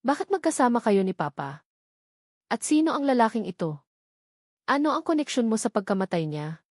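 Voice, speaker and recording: A woman's voice: fairly high pitch, very animated, moderate pace, a little mellow, dry recording, clean studio sound. A woman voicing a betrayed wife in an audio love drama, bitter, accusing and emotional. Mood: angry, fearful.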